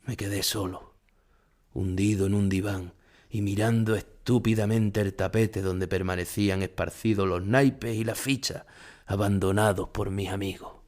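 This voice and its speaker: Casting: low-voiced Spanish male actor for an older bullfighter haunted by grief, solemn first-person radio drama narration. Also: steady tone, moderate pace, darkish tone, natural voice. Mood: grieving, haunted. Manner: solemn, emotional storytelling. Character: famous older bullfighter, grief-stricken, remembering his dead rival. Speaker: narrator playing a bullfighter recalling the past.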